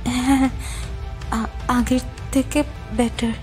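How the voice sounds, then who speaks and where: A terrified young woman stumbles through her words between gasps, her voice high and halting. Her speech comes slowly and breaks off at each fresh start. Soft music sits underneath. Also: little pitch movement. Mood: shaken. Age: young adult. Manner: stammering, breathless. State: out of breath, crying. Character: frightened young woman seeking help. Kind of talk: audio drama acting.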